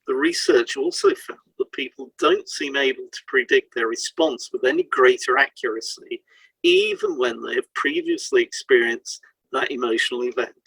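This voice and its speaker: A man's voice: mid-range voice, gravelly, a bit bright, breathy edge, no echo, rough recording. A man co-hosting an educational podcast, reading from a prepared script. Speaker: podcast co-host, hypnotherapist.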